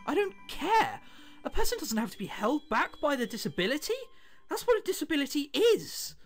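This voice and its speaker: Guy doing a bitter sarcastic teen boy from a visual novel, swingy pitch, music under it. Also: fairly bright tone, full character voice. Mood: indignant. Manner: indignant, brooding, sardonic. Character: bitter, sarcastic teenage boy with a heart condition. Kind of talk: dramatic visual novel reading.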